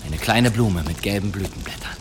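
A man's voice: fairly low pitch, fairly quick, background noise, natural voice. An actor playing a boastful medieval demon hunter, telling his tales in a courtly, suspenseful manner in a German radio drama. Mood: dramatic, gripping. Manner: theatrical, suspenseful, courtly delivery.

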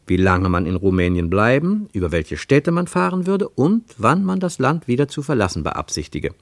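Guy narrating a radio play, lowish voice, animated literary reading, dry studio sound. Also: dry recording.

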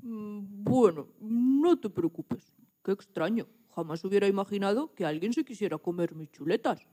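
A plucky little pig acted by a woman from a children's radio story. The voice is mellow and sits at a middle pitch, full of wonder and determination. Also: expressive, normal speed, lightly acted. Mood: curious, friendly.

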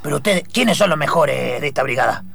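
Flustered, gravelly Argentine police chief, acted by a man. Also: fairly high pitch, lively intonation, over a noisy crowd. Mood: pleading. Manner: halting, repetitive. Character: pleading, flustered male police commissioner. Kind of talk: improvised comic radio drama.

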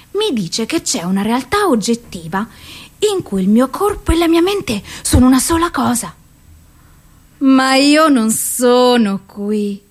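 An actress playing a despairing, suicidal and self-loathing woman in an anguished, deliberate theatrical radio monologue. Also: high-pitched. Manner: slow, deliberate, anguished delivery.

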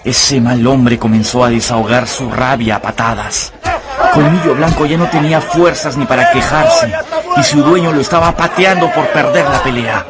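A man's voice: mid-range voice, normal speed, a crowd in the background, noisy background, lightly acted. A man narrating a radio drama as a dramatic storyteller reading scripted prose.

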